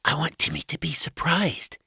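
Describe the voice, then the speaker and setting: A man's voice: moderate pace, gravelly, pushed and bright, slightly rough, no echo, studio quality, full character voice. A man voicing a meek, anxious, long-suffering wife in an audiobook story, speaking in a plaintive, fretful way. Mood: gentle. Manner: plaintive, fretful, higher-voiced.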